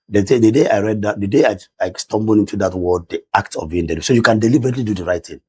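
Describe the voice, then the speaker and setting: A middle-aged Nigerian man with a Nigerian English accent and a loud voice, talking emphatically in a studio discussion. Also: on the low side, very fast, breathy edge. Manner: emphatic, excitable, rises sharply when stressing points. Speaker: discussion panelist.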